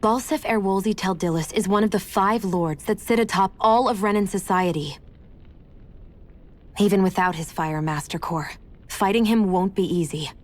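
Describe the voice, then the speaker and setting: A woman's voice: medium pitch, normal speed, close to an everyday voice. An actress playing a prickly, haughty young woman fighter in a fantasy video game, curt and aloof. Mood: stern warning. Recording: game music under the voice.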